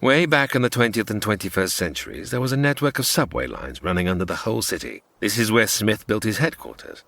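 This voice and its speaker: A man's voice: fairly low pitch, animated, fairly quick, gravelly, a bit bright, in a large hall, a little hiss or hum. An actor playing a scheming male villain who gives orders smugly in a comedy audio drama. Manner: commanding, smug.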